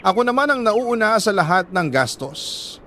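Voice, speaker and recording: A Filipino man with a slightly high voice narrates a confessional love letter like a radio drama host. He is solemn and sentimental, leaving deliberate pauses between phrases. There is some noise in the recording behind him. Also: very animated, pushed and bright.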